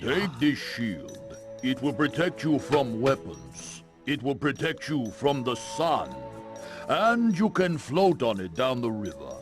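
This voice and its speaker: Pompous chief, video game cutscene, deadpan asides, very animated delivery, music behind. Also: male voice, mid-range voice, slow. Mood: grandly ceremonial. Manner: grand announcements undercut by deadpan asides.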